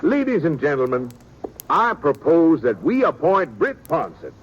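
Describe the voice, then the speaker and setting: An actor playing a fussy, wordy small-town preacher in an old radio drama, pompous and prone to stammering. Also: male voice, on the high side, very animated, a little slow, lo-fi sound. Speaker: reverend. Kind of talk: 1950s radio drama acting. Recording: old radio recording with faint hiss.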